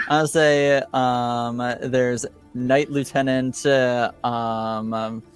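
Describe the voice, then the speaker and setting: A man improvising tabletop game narration as game master, with a hesitant, halting delivery. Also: medium pitch, flat delivery, over music.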